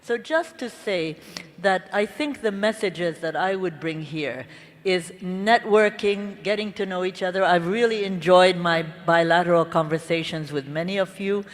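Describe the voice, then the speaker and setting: Woman in a reverberant hall. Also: lowish voice. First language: Hungarian. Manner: earnest, with halting restarts. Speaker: conference panelist. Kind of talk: conference speech, personal career story. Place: large conference hall. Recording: long reverb of a big hall.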